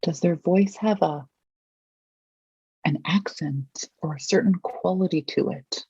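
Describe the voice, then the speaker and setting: Guide for a visualization exercise: very slow, mellow and husky, gentle prompting, long pauses, dry dull recording. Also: mid-range voice, no room sound.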